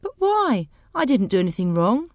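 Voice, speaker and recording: Female narrator as meek giant husband, storybook, hesitant, defensive, stammering, high and jumpy pitch. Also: sing-song, a little fast, no echo, clean studio sound. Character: timid, flustered gentle giant of a husband.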